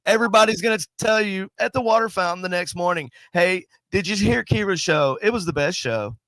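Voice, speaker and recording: A man co-hosting a paranormal podcast, chatting casually with a nasal, congested voice from a sinus cold, rambling and joking and giving animated shout-outs to viewers. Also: sits high, brisk, no room sound, rough recording. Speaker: podcast co-host. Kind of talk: casual chat.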